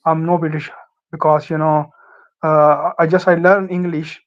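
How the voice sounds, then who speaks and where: A young Pakistani man, Urdu his first language, talks through his experience with many fillers and false starts. His voice sits a little high and stays flat, yet comes through smooth and clear. The sound is dull and lo-fi, like an online call. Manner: halting, many fillers and restarts. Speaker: guest, former student. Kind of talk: casual interview advice.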